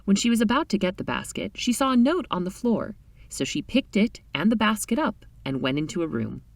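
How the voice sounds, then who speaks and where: A woman reading a short story aloud in an expressive, dramatic storytelling style. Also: medium pitch, fairly quick, vocal fry, no room sound, recorded from a distance. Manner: animated, dramatic reading with character voices.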